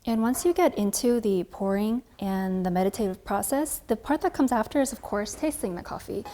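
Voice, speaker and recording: A woman's voice: mid-range voice, expressive, fairly quick, warm tone, slight background noise. A woman in her twenties with an American accent, telling her own story casually to camera in a chatty, reflective way. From United States, California. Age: 20s. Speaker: coffee content creator and barista.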